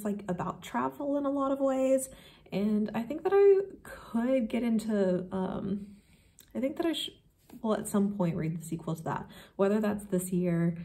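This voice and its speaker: A woman's voice: medium pitch, vocal fry, mellow. A woman talking casually and unscripted as a book vlogger, in a rambling way, feeling out her phrasing as she goes.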